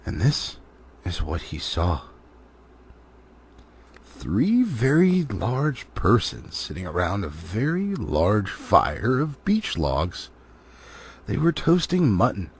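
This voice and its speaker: A man narrating a storybook expressively, with dramatic pauses and drawn-out phrasing. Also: on the low side, a little mellow, husky. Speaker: audiobook reader.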